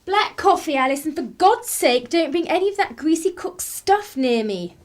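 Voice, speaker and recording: Actress as a haughty young Englishwoman, higher voice. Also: very animated, normal speed. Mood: irritated scorn. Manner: disdainful, articulate. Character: discontented, haughty young upper-class Englishwoman, sharp-tongued. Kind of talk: radio play narration and acting.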